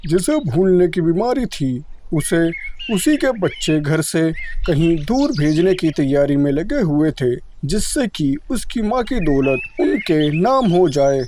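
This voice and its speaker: High-pitched man narrating a children's story. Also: big pitch swings, a little slow, darkish tone, a little hiss or hum.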